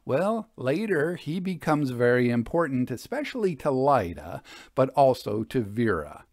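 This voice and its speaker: A man narrating from a script as a storyteller, with measured, articulate delivery. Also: mid-range voice, sing-song, darkish tone, no room sound, studio quality. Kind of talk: scripted audiobook narration and commentary.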